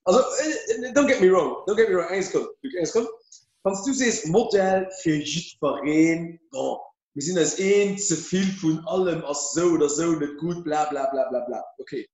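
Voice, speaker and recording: Halting man in an echoey room. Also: a little high, a little mellow. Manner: halting, many fillers and restarts. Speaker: podcast host. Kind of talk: casual podcast discussion.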